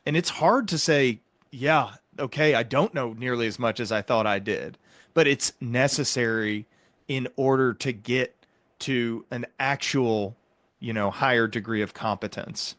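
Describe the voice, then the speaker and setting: A man talks as a podcast host in a conversational, explanatory style. His delivery is earnest and reflective, at a middling pitch. The sound is dry, with very little room on it. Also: unhurried, a bit bright, no room sound. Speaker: psychiatric doctor.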